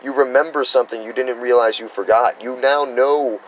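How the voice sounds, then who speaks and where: A man's voice: medium pitch, steady tone, moderate pace, muffled recording, slight background noise. An American man giving a rambling, earnest spiritual teaching, now and then acting out quoted reactions. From United States. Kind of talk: unscripted spiritual teaching monologue.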